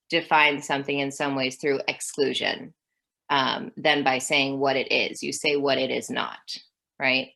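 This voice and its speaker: A woman's voice: low voice, fairly bright tone. A woman in her thirties, a historian guest in an interview, talking through ideas thoughtfully with frequent ums and restarts. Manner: thoughtful, with many fillers. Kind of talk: academic interview.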